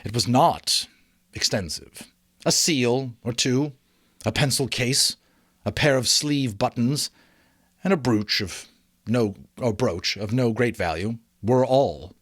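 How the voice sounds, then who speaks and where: A man reading an audiobook as dramatic storybook narration, in a theatrical, expressive manner. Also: mid-range voice, slow, husky, no echo, clean studio sound. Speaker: audiobook reader.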